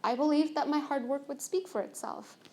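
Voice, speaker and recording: Soft-spoken woman giving a conference talk. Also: high voice, brisk. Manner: soft-spoken, earnest, with fillers and restarts. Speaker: conference speaker. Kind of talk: personal story talk on stage.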